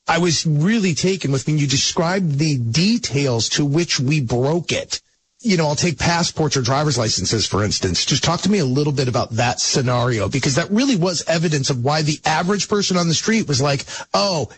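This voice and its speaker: A man hosting an interview who recaps his guest's stories in an animated way before he asks each question. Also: fairly high pitch, moderate pace, a little hiss or hum, rough recording. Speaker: podcast host.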